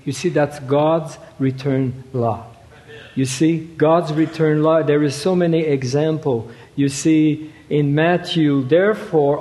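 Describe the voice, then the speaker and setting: A man preaching in a fairly high voice, slow and halting, leaning on fillers and rhetorical asides. His words trail off into the long reverb of a large church hall. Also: mellow. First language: French. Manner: halting, many fillers, rhetorical "you see". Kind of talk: sermon.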